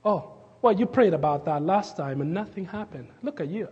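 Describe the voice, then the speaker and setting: A man from Kenya, preaching a sermon and telling a story with fillers and restarts, sometimes dropping his voice to a hush. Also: high voice, animated, moderate pace, breathy edge, long reverb tail, highs cut off. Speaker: pastor.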